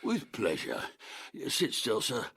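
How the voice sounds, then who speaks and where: An actor playing a falsely servile, murderous barber with a gravelly voice, obsequious and quietly menacing, in a period audio drama. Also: male voice, mid-range voice, huge pitch swings, no echo, exaggerated voice. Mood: slyly menacing. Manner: obsequious, drawn-out politeness with lurking menace.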